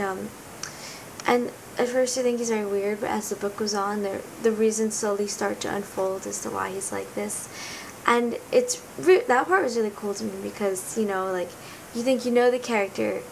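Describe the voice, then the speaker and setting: A teenage girl talks through a heartfelt book review with a raspy, gravelly voice. She rambles earnestly and keeps reaching for the right words. A steady rumbling noise sits clearly under the recording. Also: poor audio. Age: teens. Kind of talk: casual book review vlog. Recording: noticeable rumbling background noise.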